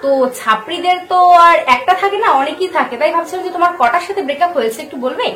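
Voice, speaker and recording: A woman making a casual prank phone call, teasing in a halting, hesitant way. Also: extremely high pitch, expressive, sharp tone, a little hiss or hum. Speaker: prank caller, channel host. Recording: echoey room, faint music.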